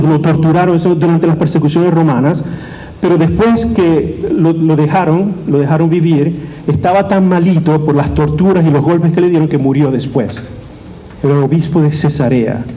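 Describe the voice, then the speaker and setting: High-voiced animated preaching priest. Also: male voice, poor audio. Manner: animated preaching, asks the audience questions and repeats phrases. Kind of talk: sermon.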